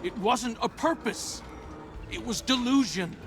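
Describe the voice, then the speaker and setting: Earnest, weary hero, slow and hesitant. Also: male voice, extremely high pitch, very noisy. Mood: pleading. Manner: hesitant, pleading, naturalistic. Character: weary, earnest, guilt-ridden male hero. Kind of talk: dramatic video game acting.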